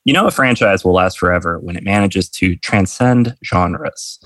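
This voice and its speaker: Deep-voiced man hosting a podcast. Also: normal speed, fairly bright tone, dry recording, studio quality. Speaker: podcast host. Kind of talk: scripted intro then casual interview.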